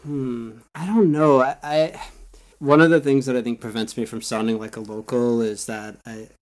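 An American man on a podcast, explaining things in a relaxed, self-deprecating way. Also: mid-range voice, normal speed. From United States. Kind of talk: casual chat.

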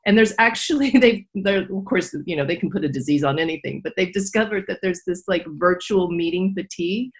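A woman co-hosting a casual podcast, telling stories in an animated way with lots of "like". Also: fairly low pitch, a little fast, hardly any pauses. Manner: animated storytelling, filler "like". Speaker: podcast co-host.

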